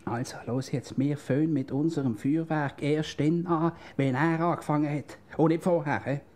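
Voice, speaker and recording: A male actor playing a blustering, know-it-all husband in a comic radio play, with a Swiss German accent and excitable, repetitive delivery. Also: on the high side, normal speed, lightly acted. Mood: scheming, commanding. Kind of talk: comedic radio play dialogue.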